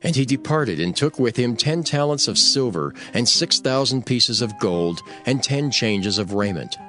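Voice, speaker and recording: A man's voice: medium pitch, lively intonation, normal speed, sharp tone, soft background music. A man narrating scripture in a dramatized, scripted storytelling style.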